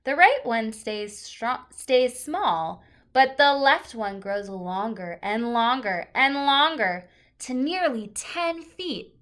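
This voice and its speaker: Slow, lively-pitched woman reading to children. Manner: expressive, explanatory, emphasizing key words for young listeners. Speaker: teacher reading aloud to children. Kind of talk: read-aloud of a children's nonfiction picture book with short asides.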